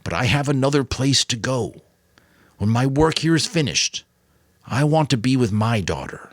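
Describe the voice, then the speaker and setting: A gentle old man, a resolute mentor in a dramatic audiobook , as a male narrator puts him on. He speaks with deliberate care and tenderness, and the sound is dry with hardly any room in it. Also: medium pitch, lively intonation, no echo, voice pushed up, close to an everyday voice. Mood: tender.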